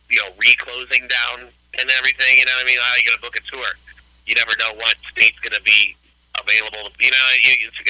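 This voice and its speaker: A man in his late forties talks in a loose, rambling way as an interview guest, his voice very bright and forward. The sound is dry and close, with no room around it. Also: on the low side, no room sound, rough recording. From Ohio, US. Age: 40s. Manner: rambling, laid-back, many "you know"s. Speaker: guest musician. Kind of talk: casual interview chat.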